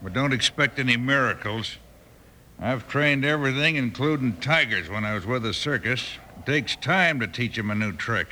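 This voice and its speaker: A man's voice: mid-range voice, animated, moderate pace, bright, forceful tone. An actor playing a grumbling, defensive animal-trainer henchman in an old radio western. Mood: surly, defensive. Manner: rough-spoken, grumbling. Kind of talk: 1950s radio western acting. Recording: noisy old-time radio recording.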